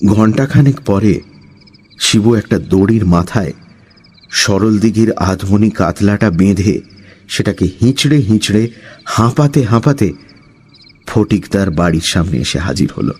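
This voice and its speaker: A man's voice: on the low side, lively intonation, a little slow, long pauses, a little mellow, slightly rough. A man narrating a scripted suspense story as its storyteller.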